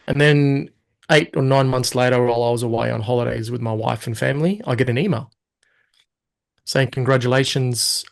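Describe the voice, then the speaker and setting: A man's voice: mid-range voice, gravelly. A man, a guest telling his story in a casual interview, acting out his own past thoughts. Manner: animated storyteller, quotes himself in lively asides.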